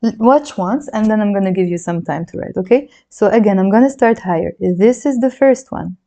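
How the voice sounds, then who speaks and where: A woman's voice: medium pitch, lively intonation, a little fast, mellow. A woman who is a native Arabic speaker with a Lebanese accent, teaching handwriting in a chatty, encouraging way and counting along as she writes. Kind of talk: handwriting lesson, demonstrating while talking.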